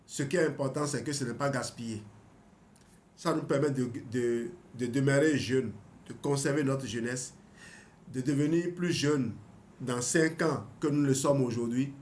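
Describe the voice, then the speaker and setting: A man's voice: mid-range voice, moderate pace, darkish tone, slight background noise. A man in his fifties reading a health book aloud and adding his own comments, often hesitating and restarting. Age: 50s. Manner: hesitant, with restarts and small laughs. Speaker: health channel host.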